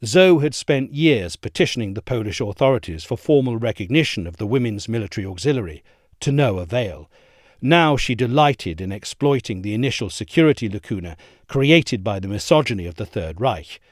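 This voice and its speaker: A man reading a history essay aloud in a measured, expressive storytelling manner, close to a studio mic. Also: fairly low pitch, no room sound. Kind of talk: scripted narration.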